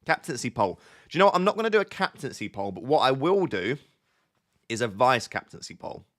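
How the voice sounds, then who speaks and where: Male stream host, a little sniffly from a cold, middle-pitched, chatty and quick to restart his lines. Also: sing-song, a bit bright. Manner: chatty, thinks aloud, restarts sentences often. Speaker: livestream host answering viewer chat. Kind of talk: casual live-stream chat.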